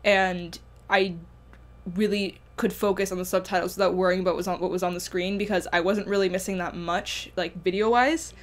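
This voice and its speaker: Woman reviewing with halting fillers. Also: fairly low pitch, steady tone. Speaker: music video reactor. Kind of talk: casual video review.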